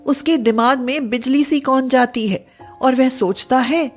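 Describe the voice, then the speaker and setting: A woman narrating a scripted story in an expressive, dramatic way. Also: high-pitched, a little slow, soft background music.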